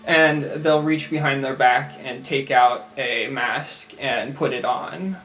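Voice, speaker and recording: A man's voice: mid-range voice, steady tone, slow, a bit bright, faint music behind, low-quality recording. A man talking casually as a player in an improvised tabletop role-playing game.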